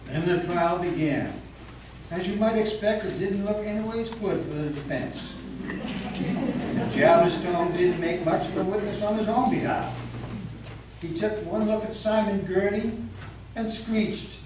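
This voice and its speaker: A man narrating a story in a dramatic reading with a folksy storytelling manner. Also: high voice. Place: meeting house hall. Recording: echoey hall from far off, noisy.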